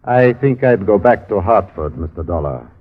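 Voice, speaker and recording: Radio drama: self-protective company man, husky, formal, hedging, lo-fi old recording. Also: lowish voice, expressive, normal speed. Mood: reserved, regretful. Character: cautious, self-protective businessman in a shipping office. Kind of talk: old-time radio acting.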